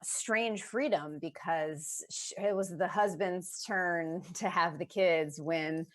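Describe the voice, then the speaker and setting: A woman's voice: on the low side, takes it slowly. A woman on a panel talking candidly and casually about her writing as a playwright.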